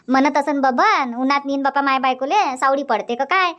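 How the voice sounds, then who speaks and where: A woman's voice: high voice, moderate pace, a bit bright. A woman voicing a chatty older village aunt in a Marathi cartoon comedy, scolding and motherly, with a Varhadi accent from Vidarbha. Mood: teasing, nagging. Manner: scolding, motherly, repeats herself.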